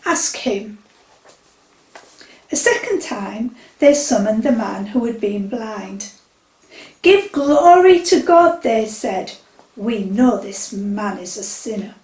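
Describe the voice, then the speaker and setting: A woman reading a Bible passage at a church service, in a measured, expressive way. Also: on the high side. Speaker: scripture reader. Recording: echoey church from a distance.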